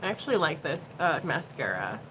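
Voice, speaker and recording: A woman's voice: low voice, sing-song, fairly quick, noisy background. Chatty, swearing woman in her thirties. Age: 30s. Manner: chatty, rambling, swears freely. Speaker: beauty livestream host. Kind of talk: casual chat while doing makeup.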